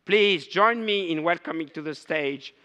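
An older man hosting a conference, giving a measured, formal introduction of the keynote speaker on stage. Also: a little high, pushed and bright, lo-fi sound, slight background noise. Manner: measured, ceremonial. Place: large conference hall stage. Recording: long hall reverb.

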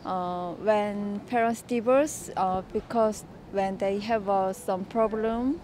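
A young woman, not a native English speaker, giving a halting street interview answer outdoors with many fillers. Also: mid-range voice, fairly even intonation, darkish tone, slight background noise. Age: young adult. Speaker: passer-by interviewee. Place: city park outdoors.

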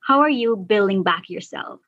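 A woman's voice: mid-range voice, animated, moderate pace, a bit bright, dry recording. Young woman, slightly muffled dry sound. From Guyana. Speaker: podcast guest, environmental activist. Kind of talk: conversational interview answers.

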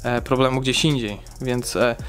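A young man explains an engine job in his home workshop, talking casually and step by step. His voice sits at a middle pitch, and he fills gaps with small hesitations. Soft music plays faintly beneath the camera-mic sound. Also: fairly even intonation, normal speed. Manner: chatty, explains step by step with fillers. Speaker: car repair vlogger.

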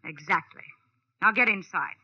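An actress playing a cold, sly killer giving curt, commanding orders at gunpoint in 1940s radio drama style. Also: female voice, medium pitch, moderate pace, slightly rough, close to an everyday voice. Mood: menacing. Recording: dull old-time radio recording.

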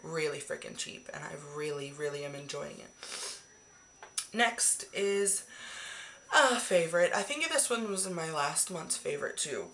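A woman in her twenties, hoarse from the flu, chatting casually and swearing freely about her beauty favorites into a webcam in a small bedroom. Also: on the low side, fairly bright tone. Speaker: beauty vlogger. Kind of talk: casual monthly favorites chat. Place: small decorated bedroom, indoors. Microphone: webcam at arm's length.

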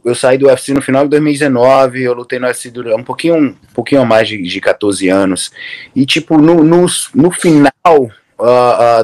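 A man from Brazil in his thirties, a guest on a video-call interview, talking casually with lots of restarts and fillers. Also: medium pitch. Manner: relaxed, rambling with many restarts and fillers. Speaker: interview guest, MMA coach. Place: room at home, indoors.

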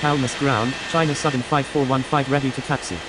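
A woman's voice: a bass voice, steady tone, a little fast. A woman playing a matter-of-fact airport radio operator in a simulation game, giving clipped, formulaic aviation calls. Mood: businesslike. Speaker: air traffic control and pilot radio voice. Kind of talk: scripted aviation radio phraseology. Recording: hissy, clearly noisy radio-style audio.

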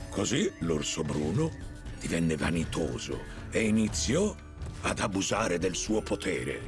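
An actor voicing an old, wise animal who tells tales slowly and gravely in a children's cartoon. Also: male voice, low-pitched, very animated. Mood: grave, solemn. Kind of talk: scripted cartoon storytelling. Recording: music behind.

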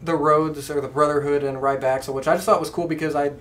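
A man's voice: on the high side, flat delivery, quick pace, fairly bright tone. A man co-hosting a wrestling podcast, talking casually as a fan. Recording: clear background noise, room ambience.

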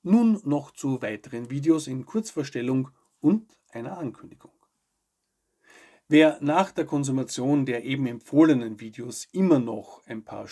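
A man, presenting scripted news commentary to camera in a measured, articulate way. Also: medium pitch, mellow, breathy edge. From Austria. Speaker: host of a weekly news video show.

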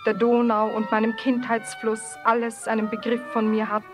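High-voiced woman reciting poetry over strings. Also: completely flat delivery, moderate pace. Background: string music.

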